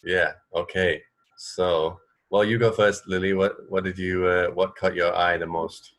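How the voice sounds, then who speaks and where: Low-voiced man hosting casual radio chat. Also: flat delivery, measured pace, a bit bright. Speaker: radio show host.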